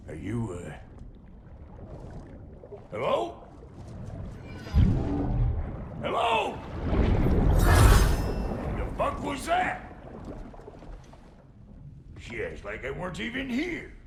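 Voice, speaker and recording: An actor playing a gruff, hot-tempered, foul-mouthed dwarf blacksmith in a video game, with a gravelly, blunt delivery. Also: male voice, sits high, wildly swooping pitch, slow pace, pauses often, noisy background, exaggerated voice. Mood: exasperated, furious. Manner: cursing, blunt, irascible. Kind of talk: video game cutscene acting.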